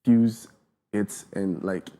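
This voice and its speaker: A man's voice: lowish voice, fairly even intonation, slow pace, dark tone. A young man with a Ghanaian accent, a rapper chatting casually as a guest in an interview and often asking if you understand. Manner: laid-back, often asks "do you understand?".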